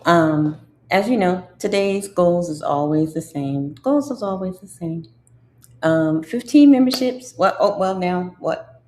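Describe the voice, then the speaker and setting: A woman's voice: fairly low pitch, measured pace, darkish tone, a little hiss or hum. A middle-aged woman with a congested voice, hosting a casual livestream and chatting in a drawling way with asides to her viewers. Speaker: livestream host.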